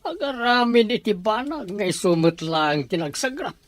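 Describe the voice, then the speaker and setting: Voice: medium pitch, sing-song, moderate pace, no room sound, faint music behind, lightly acted. An actor with an Ilocano accent playing a sly, scheming household relative in a radio drama.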